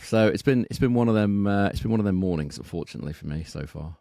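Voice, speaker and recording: A man's voice: lowish voice, lively intonation, quick pace, mellow, dry recording, clean studio sound. A man co-hosting a betting podcast, thinking aloud and often repeating words as he goes. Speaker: podcast co-host. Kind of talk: casual betting analysis.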